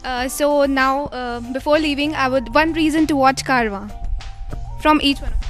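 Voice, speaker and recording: A woman's voice: high-pitched, moderate pace, soft background music. A woman interviewing film actors, asking questions hesitantly with fillers like "uh" and "like".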